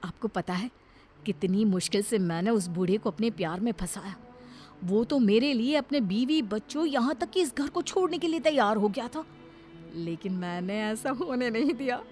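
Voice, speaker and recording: A woman voicing a vain, scheming gold-digger mother-in-law in an animated story, theatrical and indignant. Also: fairly high pitch, normal speed, faint music behind, lightly acted. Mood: smug. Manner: melodramatic, indignant. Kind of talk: animated Hindi story voice acting.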